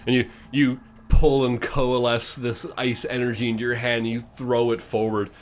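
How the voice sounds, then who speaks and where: A man's voice: medium pitch, flat delivery, moderate pace, a little hiss or hum. A man working as game master, improvising dramatic, descriptive narration for a fantasy tabletop game.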